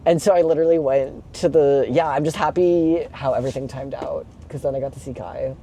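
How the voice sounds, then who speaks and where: Young man vlogging over clear road noise. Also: on the high side, steady tone, a little fast, mellow. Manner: informal, sweary, rambling with frequent "like". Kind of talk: casual confessional chat while driving. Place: inside a moving box truck. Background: engine and road noise.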